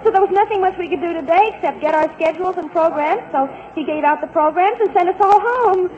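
A woman playing an animated, exclamatory teenage schoolgirl in a 1940s radio comedy. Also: very high-pitched, quick pace. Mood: chatty. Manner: girlish, exclamatory. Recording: tinny 1940s radio recording, faint noise.

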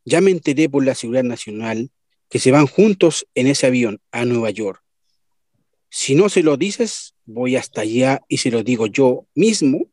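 Un actor de radionovela que interpreta a un amante venezolano despechado e insistente, melodramático, con acento venezolano. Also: male voice, mid-range voice, animated, moderate pace, darkish tone, no room sound, clean studio sound, close to an everyday voice. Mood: stern. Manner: earnest, melodramatic. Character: spurned, insistent lover demanding the truth. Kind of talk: radio soap opera acting.

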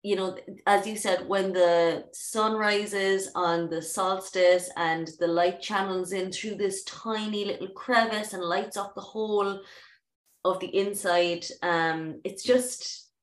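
Excited woman host, can't find the words, roomy echo. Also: medium pitch, takes it slowly. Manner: effusive, searching for words. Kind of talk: casual podcast chat. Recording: echoey room.

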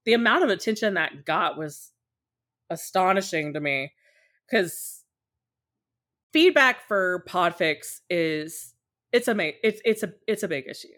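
A woman with a somewhat low-pitched voice chats casually as a guest on a podcast. She is talkative and candid, explaining things in loose, conversational runs and slipping into short quoted asides. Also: animated, a little slow, pauses often, a bit bright. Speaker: podcast guest, podfic creator.

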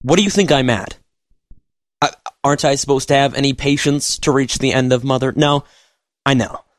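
A man's voice: mid-range voice, normal speed, no echo, lightly acted. An actor playing a hesitant man who stammers and pleads. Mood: pleading.

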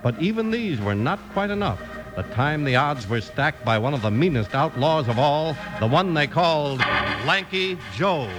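A man's voice: a little high, animated, moderate pace. An American man announcing and narrating a 1950s radio show, dramatic and emphatic, like a born salesman. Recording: old radio transcription with music.

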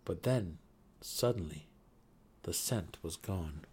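A man's voice: deep voice, lively intonation, slow, a little mellow, a little hiss or hum. A man narrating a fantasy story in a dramatic reading style.

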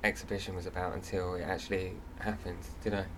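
A man chatting casually in a hesitant, halting way as he recalls things. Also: sits low, level tone. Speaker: poet, tour guide. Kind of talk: casual conversational recollection. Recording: noisy location recording.

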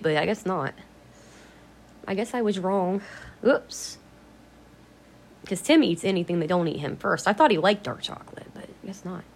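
A woman vlogging in a casual, rambling way, her voice a little raspy from allergies, blunt and free with swear words. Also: medium pitch. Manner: chatty, blunt, swears freely. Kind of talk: casual rambling vlog chat.

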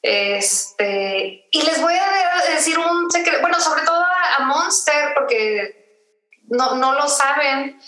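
A woman's voice: high voice, lively intonation, slow pace, sharp tone, echoey room, low-quality recording. A woman from Tijuana, hosting a podcast and chatting casually with lots of fillers. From Tijuana, Mexico. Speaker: podcast host. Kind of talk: casual chat.

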